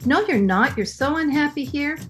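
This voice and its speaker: Woman as theatrical devout wife, highish pitch, very expressive, old-time radio drama. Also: moderate pace, soft background music. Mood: earnest, solemn. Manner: earnest, theatrical. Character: earnest, superstitious young wife in peril. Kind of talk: 1930s radio melodrama acting.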